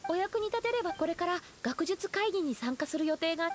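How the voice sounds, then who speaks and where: An actress playing a young village woman in an anime game, earnest and expressive, with feminine phrasing. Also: extremely high pitch, a little fast, slight background noise. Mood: polite, apologetic. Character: polite young village woman in a fantasy world.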